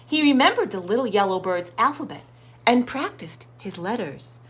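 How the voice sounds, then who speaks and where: A woman hosting children's storytime, reading expressively to young listeners and addressing them directly. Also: on the high side. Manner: slow, expressive, sounds out words and asks listeners questions. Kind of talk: picture book read-aloud for young children.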